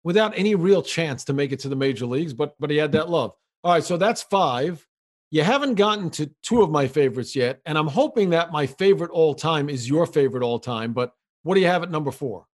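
Fast-talking male podcast host. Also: on the high side, animated. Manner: eager, quick back-and-forth questions. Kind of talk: podcast conversation.

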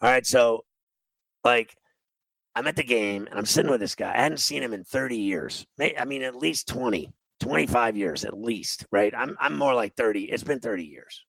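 A man's voice: medium pitch, lively intonation, normal speed, fairly bright tone, dry recording, low-quality recording. A man, a radio host telling a long rambling, self-mocking story in a grating voice. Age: 50s. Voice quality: gravelly, grating voice. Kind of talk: storytelling monologue on a radio show.

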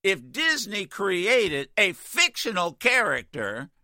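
Radio host guy ranting, slow drawn-out delivery, dry close studio sound, voice going a bit worn. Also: high-pitched, vocal fry, no room sound. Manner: animated, exasperated, many stammered restarts. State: losing his voice. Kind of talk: opinionated talk radio rant.

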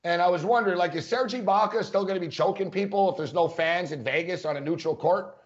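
A man's voice: sits high, fast talker. A man co-hosting a casual sports talk show, chatty, laughing often and full of fillers. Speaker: sports podcast co-host. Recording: dull lo-fi sound.

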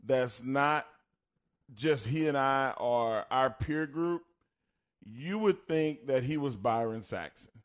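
A man's voice: medium pitch, takes it slowly. A man with a Texas country accent, co-hosting a casual podcast chat and telling stories in an easygoing way. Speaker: podcast co-host.

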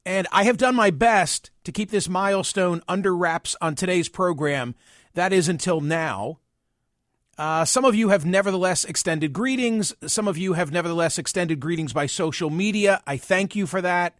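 Man, 60s, host; measured, wry monologue, high-pitched and dry. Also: dry recording. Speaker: podcast and radio host. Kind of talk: reflective personal monologue.

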